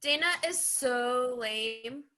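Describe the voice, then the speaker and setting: A young woman in an amateur stage play, acting a naive, slow-witted teenage sidekick with a guileless manner. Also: a little high, pushed and bright. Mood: clueless. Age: young adult.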